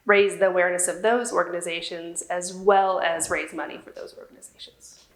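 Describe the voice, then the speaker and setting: A woman's voice: lowish voice, fairly even intonation, a little fast, reverberant. A woman who has worked in the building industry for twenty years, speaking candidly and reflectively in an interview. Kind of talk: interview to camera.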